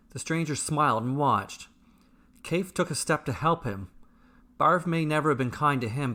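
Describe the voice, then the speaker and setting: A man reading a scripted fantasy story as its storyteller, in measured, dramatic, deliberately broken phrases. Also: mid-range voice, pushed and bright. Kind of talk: scripted fantasy bedtime narration.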